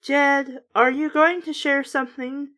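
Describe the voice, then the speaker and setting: A woman's voice: high-pitched, fairly even intonation, unhurried, bright, forceful tone, voice pushed up. A woman voicing an exasperated, weak-willed father in a storybook reading, pleading and fed up. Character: exasperated, spineless father pleading with his family. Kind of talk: children's storybook narration.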